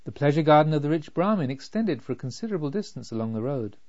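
A man reading a literary story as a narrator, with measured, deliberate phrasing. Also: fairly high pitch, big pitch swings, darkish tone. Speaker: audiobook narrator. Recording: slightly dull, lo-fi.

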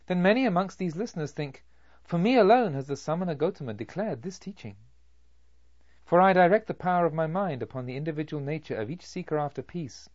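A man reading literary narration as an audiobook, in a measured, solemn storytelling way with deliberate phrasing. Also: medium pitch, darkish tone, dry recording, lo-fi sound. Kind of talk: scripted literary narration of a Buddhist novel.

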